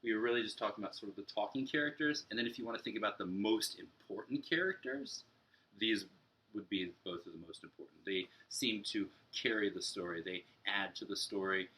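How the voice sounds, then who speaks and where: A man's voice: fairly low pitch, fairly quick, pushed and bright, low-quality recording. A young adult man speaking as a teacher, explaining a lesson directly to young pupils. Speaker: elementary teacher. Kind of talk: read-aloud and story structure lesson for children. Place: room at home, indoors.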